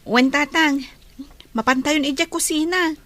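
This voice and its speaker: A woman's voice: sits high, steady tone, a little slow. A woman with an Ilocano accent playing a caring, respectful younger sister in an audio drama.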